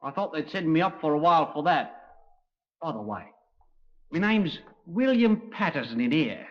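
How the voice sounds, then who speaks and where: An actor in a 1950s radio drama playing a cocky, cynical killer in jail, wry and insolent. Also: male voice, on the high side, very animated, normal speed. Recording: dull lo-fi 1950s radio recording.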